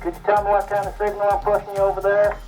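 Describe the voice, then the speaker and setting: A man's voice: high voice, completely flat delivery, fast, a little mellow, poor audio. A man bantering over CB radio in slangy, joking radio-operator talk. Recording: CB radio transmission, music behind.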